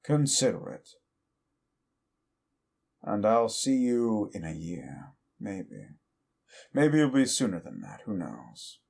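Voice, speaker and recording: A man voicing a scornful male demon who taunts and degrades the listener in an audio roleplay, speaking slowly and with menace. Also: sing-song, creaky, a little mellow, full character voice. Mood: taunting. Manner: menacing, taunting, slow and low. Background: faint music.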